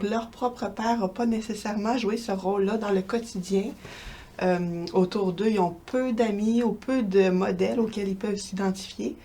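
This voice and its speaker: Québécois woman researcher, calm and articulate. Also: medium pitch, a little hiss or hum. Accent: Québécois French. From Quebec. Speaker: researcher interviewed as guest. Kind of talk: explanatory interview answers.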